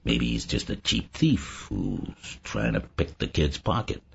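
A man's voice: a bass voice, wildly swooping pitch, measured pace, vocal fry, husky, lo-fi sound, pitched below the performer's own voice, heavily acted. A man voicing a sly, bland infiltrator in an audiobook, talking in a confiding, insinuating way. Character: sly, bland male infiltrator posing as prim convention delegate.

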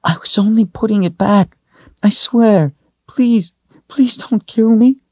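A man performs a timid little servant girl in an audiobook tale. The voice is pushed high above his natural register, slightly husky, and it whimpers and pleads in a meek, frightened way. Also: very animated, moderate pace, no room sound, muffled recording. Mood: terrified pleading.

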